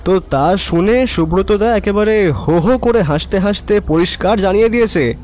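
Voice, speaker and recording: A man's voice: high-pitched, moderate pace, darkish tone, a little hiss or hum, lightly acted. An actor playing a cynical, resigned young neighbourhood man, talking colloquially and wryly in an audio drama. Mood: resigned, gossipy. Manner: colloquial, emphatic repetition, wry. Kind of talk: Bengali audio drama acting.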